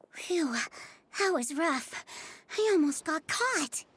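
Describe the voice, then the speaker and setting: High-pitched, flustered young fugitive. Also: female voice, animated, extremely slow, fairly bright tone, slight background noise, heavily acted. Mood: relieved. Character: anxious small female fugitive posing as a lord. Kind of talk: dramatic game voice acting.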